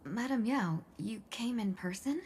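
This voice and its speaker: A woman voicing a polite, dutiful young female envoy in a video game, measured and formal. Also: on the high side, animated, darkish tone, a little hiss or hum. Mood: surprised. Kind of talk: dramatic video game voice acting. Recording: music behind the voice.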